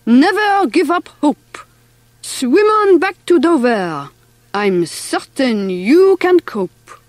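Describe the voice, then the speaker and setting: Woman as a big, unhurried French brother mouse. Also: sits high, big pitch swings, no echo, exaggerated voice. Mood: encouraging. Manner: courteous, encouraging. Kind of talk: children's rhyming storybook character.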